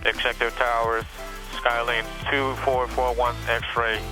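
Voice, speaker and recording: Male pilot on radio, monotone hesitant readbacks, distant transmission, music bed. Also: mid-range voice, measured pace.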